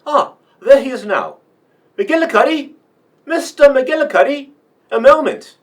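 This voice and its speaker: An actor playing a proud, imperious lord of the manor in a radio play, pompous and formal. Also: male voice, very high-pitched, expressive, unhurried. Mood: genteel, commanding.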